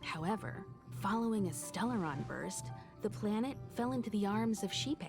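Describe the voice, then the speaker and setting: An actress playing an eager, friendly young space explorer in a video game, explaining things expressively. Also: female voice, medium pitch, lively intonation, normal speed, natural voice. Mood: eager, chatty. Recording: music underneath.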